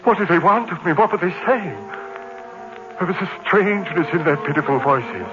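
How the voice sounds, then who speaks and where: Dull old radio recording, haunted guilt-ridden lecturer, forceful voice, wildly swinging pitch, melodramatic repetition. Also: male voice, animated, normal speed, music in the background. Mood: hushed, haunted. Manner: melodramatic, repeating words obsessively. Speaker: radio drama actor and narrator. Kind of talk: old-time radio horror acting.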